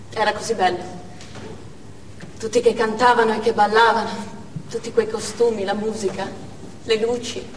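A woman playing an earnest young female militant in a measured theatrical monologue. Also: fairly high pitch, close to an everyday voice. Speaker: stage actor. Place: indoor theatre stage, recorded from afar. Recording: distant, echoey hall with faint noise.

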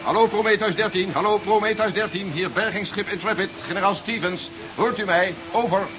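A noisy, dull 1970s radio play recording of an actor as a male military general. He is high-voiced for a man and speaks briskly, with curt authority. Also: natural voice. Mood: businesslike. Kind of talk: 1970s Dutch radio drama acting.

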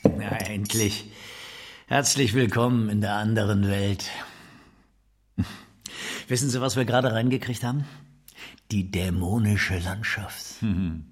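A jovial gallery curator in radio drama dialogue. His voice sits fairly low and he takes his time, dropping in small questions to his guest. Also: unhurried, pauses often, breathy edge. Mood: welcoming. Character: genial West Berlin male gallery curator.

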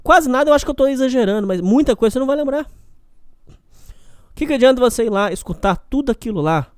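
A man's voice: very high-pitched, lively intonation, fast, clear, resonant tone, dry recording, clean studio sound. A Brazilian man with a countryside caipira accent hosts a casual solo podcast. He rambles, repeats himself and speaks straight to the listener. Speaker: podcast host.

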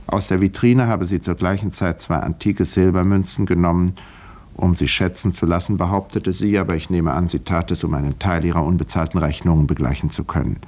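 A composed doctor with a fairly low voice. His delivery is measured, precise and dry, that of an educated gentleman in a 1950s German radio play. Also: fairly even intonation. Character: composed, educated male English doctor narrating a mystery. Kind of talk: 1950s German radio drama narration and dialogue.

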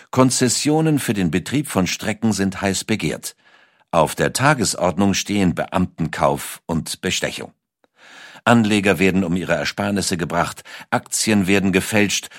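A man with a deep voice narrates a scripted documentary. His intonation moves widely as he tells the story in a measured, dramatic way. The sound is dry, with no room around it. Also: no echo.

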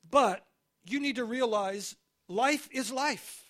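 A man with a high voice preaches in American English, from California. He speaks in short, clipped phrases and leaves gaps for his interpreter. He often stumbles and restarts mid-sentence. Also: pushed and bright. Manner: short phrases with pauses for translation, frequent restarts. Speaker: guest preacher. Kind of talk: sermon delivered through an interpreter.

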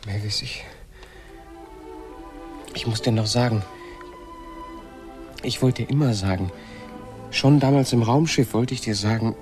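An actor playing a lonely, emotional man on a remote planet in a German radio play, speaking in a halting, earnest way. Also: mid-range voice, music in the background, natural voice. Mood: halting longing.

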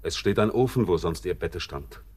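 A man's voice: deep voice, expressive, moderate pace, a little mellow. An actor playing a bitter, haunted seafaring man in a German radio play, declaiming poetic lines theatrically. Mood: bitter grief.

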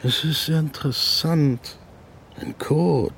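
An actor playing a stern, suspicious middle-aged army captain in a radio drama, with a husky, gravelly voice and an officious, sardonic way of giving orders. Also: male voice, a little high, slow pace, fairly bright tone, slight background noise. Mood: suspicious, menacing. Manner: clipped commands, officious and sardonic. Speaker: company commander.

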